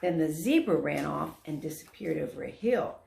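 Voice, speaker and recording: Woman storyteller, children's read-aloud, emphatic, very lively intonation, gravelly edges, echoey room. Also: sits low, moderate pace. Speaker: volunteer story reader. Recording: roomy echo.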